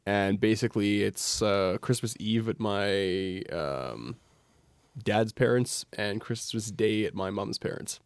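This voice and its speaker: A man's voice: on the low side, takes it slowly, no echo. A man with a Canadian accent chatting casually as a guest. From Edmonton, Canada. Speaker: podcast guest. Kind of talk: casual chat.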